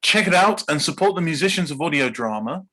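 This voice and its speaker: A man's voice: on the high side, animated, fairly quick, pushed and bright. A man from Bedfordshire with an English accent, reading scripted podcast narration as a guest composer. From Bedfordshire, England.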